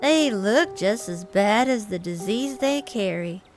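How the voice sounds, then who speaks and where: A woman voicing a mild, earnest male pastor in a visual novel, gentle, wordy and prim, with music behind. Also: medium pitch, lively intonation, measured pace, natural voice. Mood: squeamish, polite. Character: mild, earnest male small-town pastor, fretful and devout.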